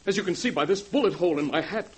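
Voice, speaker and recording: A man's voice: high-pitched, racing, husky. An actor playing an anxious, desperate ruined businessman, urgent and earnest, in 1940s radio drama style. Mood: worried. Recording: vintage 1940s radio recording.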